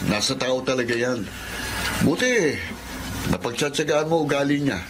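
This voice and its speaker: A man's voice: medium pitch, normal speed, background noise, close to an everyday voice. An actor playing an easygoing, grumbling younger man in a radio drama, wry and informal, with a Filipino accent. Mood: wry, exasperated.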